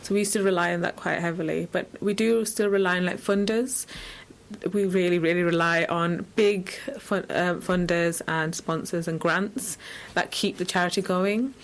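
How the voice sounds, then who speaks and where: A woman's voice: lowish voice, fairly even intonation, moderate pace. Informal woman explaining. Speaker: charity representative, interview guest. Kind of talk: informal interview answers.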